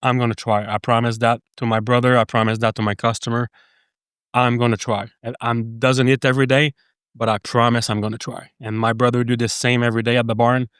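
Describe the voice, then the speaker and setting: A middle-aged man with a French Canadian accent speaks earnestly, stopping and restarting his sentences often. His delivery stays fairly flat and even, and his voice is smooth and clear. The sound is dry and close. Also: mid-range voice, no echo. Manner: earnest, halting, frequent restarts. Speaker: podcast guest. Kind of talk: casual interview chat.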